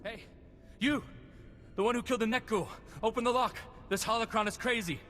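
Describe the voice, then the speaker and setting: A man's voice: very high-pitched, brisk. A man playing a panicked, desperate captive in a video game, calling out frantically. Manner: frantic, shouting plea. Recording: game music underneath.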